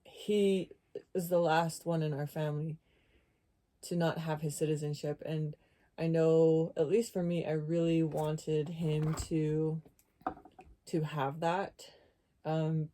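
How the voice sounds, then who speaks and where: A woman's voice: deep voice, little pitch movement, takes it slowly, dark tone. A woman co-hosting a podcast, sharing personal recollections in casual conversation. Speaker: podcast co-host.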